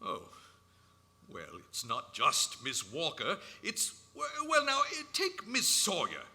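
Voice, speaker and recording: A man's voice: on the high side, huge pitch swings, slow pace, sharp tone, full character voice. An actor playing an earnest, kindly old man with old-fashioned speech, a Santa Claus figure in a stage radio play. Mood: dejected.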